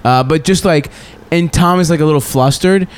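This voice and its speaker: A man's voice: on the high side, normal speed, clear, resonant tone. Rambling man telling crude stories. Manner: rambling, joking, crude storytelling. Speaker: podcast co-host and comedian. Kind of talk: casual comedy podcast chat.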